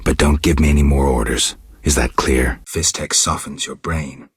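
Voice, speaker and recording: Gruff stoic monster hunter for a fantasy game, super low raspy voice, deadpan and terse. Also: male voice, normal speed, dry recording, clean studio sound, heavily acted. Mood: quietly menacing, dry. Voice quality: gravelly, husky low voice. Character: stoic gruff adult male monster hunter, wry and world-weary. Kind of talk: video game character dialogue.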